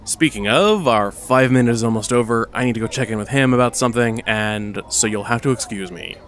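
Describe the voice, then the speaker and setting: A frazzled superhero stage director played by an actor in an audio drama. The voice sits at a middle pitch and is businesslike and blunt, with an exasperated edge, in a natural conversational style. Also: brisk, a little hiss or hum, lightly acted. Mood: pointed. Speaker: director of a musical in a story.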